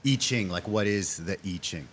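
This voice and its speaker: A man hosting a casual interview, cheering his guest on with quick, encouraging remarks. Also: deep voice, a bit bright, a little hiss or hum. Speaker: host.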